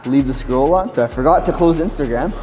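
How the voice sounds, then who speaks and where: A man bantering with his gym partner and telling animated, teasing stories. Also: medium pitch, quick pace, faint music behind. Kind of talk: casual banter. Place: gym, indoors.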